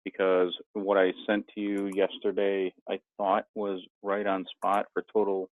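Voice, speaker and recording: A man's voice: sits low, completely flat delivery, unhurried, no room sound. A man speaking conversationally as a student on an online class call, with dull conference-call sound. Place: video conference.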